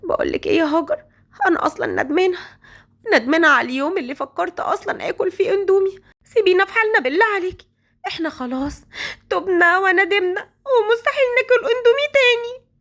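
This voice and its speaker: A woman's voice: very high voice, lively intonation, rapid-fire, a bit bright, voice pushed up, heavily acted. Woman doing a whiny, tearful young woman. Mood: remorseful. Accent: Egyptian Arabic. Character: weepy, remorseful young woman with a stomachache.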